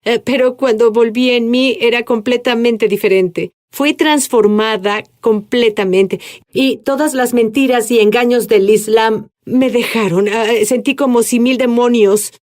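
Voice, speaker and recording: A woman guest tells her life story earnestly in an interview, with halting restarts. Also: on the high side, fairly even intonation, darkish tone. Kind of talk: dubbed interview testimony.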